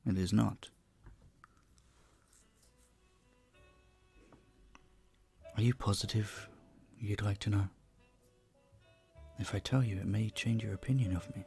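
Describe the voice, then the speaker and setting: A man playing a charming, polite vampire bartender in an intimate audio roleplay, soft-spoken and slightly formal. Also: fairly low pitch, steady tone, a little slow, vocal fry, long pauses, faint music behind. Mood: wistful, guarded. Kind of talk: audio roleplay, intimate one-sided dialogue.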